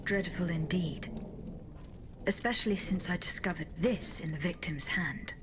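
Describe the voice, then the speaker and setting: A woman voicing a courteous female automaton bodyguard in a video game, speaking in a measured, formal and restrained way. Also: on the low side, noisy background, close to an everyday voice. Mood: composed, formal. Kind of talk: video game cutscene acting.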